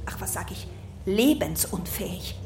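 A woman's voice: fairly low pitch, animated, measured pace, creaky, darkish tone, reverberant, recorded from a distance, slight background noise. An actress playing a gossiping woman who tells stories with dramatic relish in a conversational radio play scene. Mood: gossipy, appalled. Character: gossiping woman relating a medical horror story.

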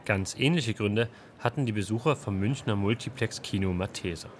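A man's voice: on the low side, moderate pace, slight background noise. A man reporting for a radio culture magazine in scripted narration, reflective and posing rhetorical questions.

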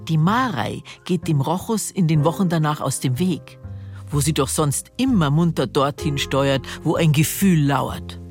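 Actress as gossipy bavarian village storyteller lady, deep voice, wry and knowing, narrating a radio play. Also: lively intonation, measured pace, darkish tone, faint music behind.

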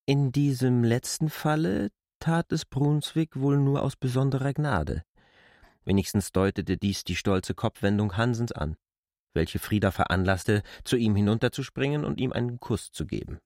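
A man's voice: mid-range voice, moderate pace, a little mellow, dry recording. A man reading scripted literary narration for a radio drama in a studio. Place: dry studio.

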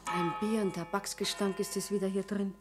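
A woman's voice: medium pitch, level tone, a little fast, over music, lightly acted. An actress playing a businesslike tavern landlady with a Bavarian accent in a naturalistic radio drama. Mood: businesslike, polite. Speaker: actor in a radio play.

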